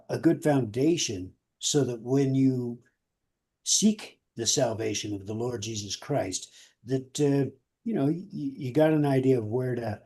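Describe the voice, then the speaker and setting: A man's voice: medium pitch, dark tone, husky. A man co-hosting a casual radio show, talking in a folksy, earnest way with colloquial phrasing. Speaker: podcast co-host. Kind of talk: casual radio show talk with listener appeals.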